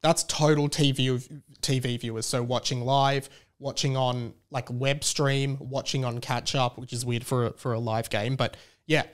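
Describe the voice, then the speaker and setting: A man's voice: mid-range voice, fairly even intonation, moderate pace. A man with an Australian accent hosting a podcast solo, talking casually in an enthusiastic, rambling way. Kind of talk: casual chatty monologue.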